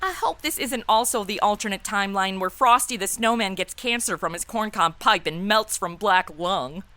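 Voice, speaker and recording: A woman's voice: mid-range voice, moderate pace, pushed and bright, a little hiss or hum, lightly acted. A woman playing a sarcastic, exasperated young superhero sidekick in a comedic radio play, with snarky, slangy, exclamatory delivery. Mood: concerned, sarcastic. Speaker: regular lead of comedy podcast.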